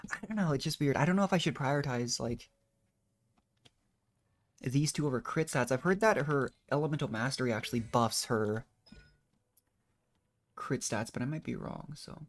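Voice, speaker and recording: A man's voice: medium pitch, moderate pace, long pauses. A man doing casual, rambling gameplay commentary as a streamer, thinking aloud in a modest, unsure way. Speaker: video game streamer.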